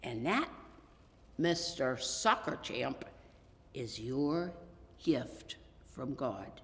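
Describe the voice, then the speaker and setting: A woman voicing a gentle, thoughtful father in a children's story, speaking deliberately and fondly. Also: rumbling low, expressive, voice pushed down, exaggerated voice. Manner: deliberate, fond. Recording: reverberant hall.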